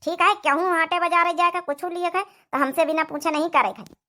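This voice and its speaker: A woman's voice: extremely high pitch, fairly even intonation, a little fast, bright, forceful tone. A woman playing a strict, scolding village mother-in-law in a cartoon comedy, with an Awadhi accent and a nagging, domineering manner. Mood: stern, commanding.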